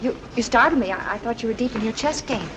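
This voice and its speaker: A woman's voice: on the high side, expressive, fast, lightly acted. Actress as a sweet young woman, noisy old film. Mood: startled, nervous. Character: sweet, coy, nervous young woman passenger. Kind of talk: 1940s film acting.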